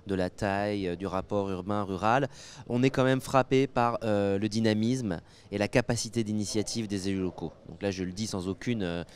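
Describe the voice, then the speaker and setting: A man in his thirties with an accent from France, giving analytical interview answers as an academic expert in a measured, halting way with many pauses. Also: fairly low pitch, fairly even intonation. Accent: French from France. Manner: hesitant, measured, with frequent pauses and repeated words. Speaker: guest expert, academic geographer. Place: indoor interview set at a convention. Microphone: handheld microphone.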